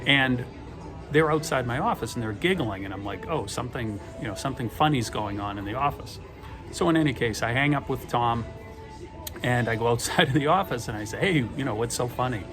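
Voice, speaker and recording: A man's voice: mid-range voice, expressive, brisk. A middle-aged man from Boston with a Boston accent, telling stories in a chatty, rambling way with dry humor. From Boston, USA. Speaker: vlogger. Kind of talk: casual storytelling monologue. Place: airport terminal with gate announcements. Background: music and airport PA announcements.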